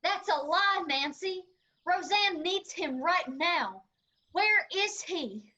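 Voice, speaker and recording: A woman playing a sharp-tongued, defiant young backwoods sister in a radio drama, with an Appalachian accent. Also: very high voice, lively intonation, takes it slowly, dull audio, heavily acted. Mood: angry urgency.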